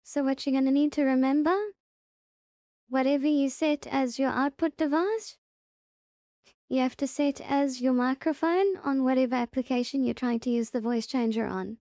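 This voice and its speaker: Girl doing a step by step pc tutorial, high soft mellow voice, super dry sound. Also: fairly even intonation, normal speed, dry recording. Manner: step-by-step instructing, repeats reminders. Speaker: tutorial presenter. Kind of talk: casual software tutorial narration.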